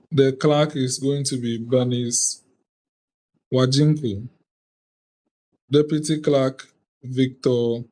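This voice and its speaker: A Ghanaian man speaks over a video call, addressing an online youth parliament in a formal ceremonial manner. He talks slowly and deliberately, with an unhurried, careful pace. His voice sits at an ordinary middle pitch. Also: a little mellow. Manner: deliberate, measured, reads out lists of names.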